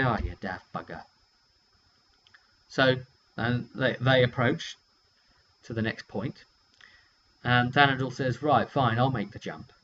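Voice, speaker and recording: A man's voice: medium pitch, fairly bright tone. British man rambling, muffled lo-fi recording. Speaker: tabletop game player recounting a session. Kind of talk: casual rambling storytelling to camera. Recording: muffled lo-fi audio with hum.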